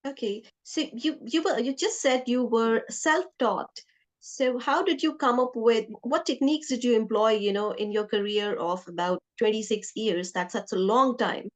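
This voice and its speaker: A woman's voice: on the high side, normal speed. A woman hosting an interview podcast, introducing and questioning in a conversational way. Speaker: podcast host.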